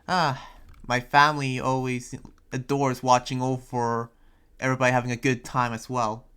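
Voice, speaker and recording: Someone playing an earnest town preacher in an improvised anime game roleplay, speaking haltingly and hesitantly. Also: medium pitch, little pitch movement, a bit bright, clear, resonant tone, lightly acted. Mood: proud, eager. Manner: halting, hesitant, often trailing into "you know". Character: Yuma, earnest town preacher busy planning a festival. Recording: music behind.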